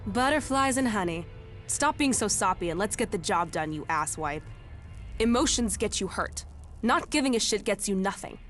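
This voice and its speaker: An actress playing a brash, foul-mouthed, bloodthirsty outlaw warrior in a video game, sneering and cocky. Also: female voice, mid-range voice, animated, normal speed, fairly bright tone, faint music behind, close to an everyday voice. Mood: gruff, resolved. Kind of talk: dramatic game dialogue.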